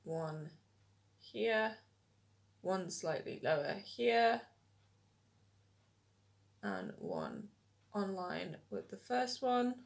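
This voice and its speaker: Slightly hoarse woman doing a makeup tutorial. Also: on the low side, extremely slow, pauses often. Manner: relaxed, step-by-step, many ums. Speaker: makeup vlogger. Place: small indoor room at home.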